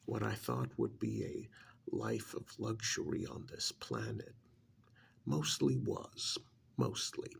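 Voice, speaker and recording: A man voicing an ancient alien creature who scorns humans, in a gravelly, breathy ASMR roleplay monologue that often sinks into whispers, slow and brooding. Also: fairly low pitch, a bit bright, slight background noise. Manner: slow, deliberate, brooding, with sighs and pauses.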